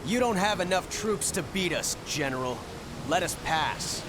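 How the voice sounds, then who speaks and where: A man playing a steady, determined heroic leader, earnest and resolute, in a video game. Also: sits high, normal speed. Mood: defiant. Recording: loud noise behind the voice.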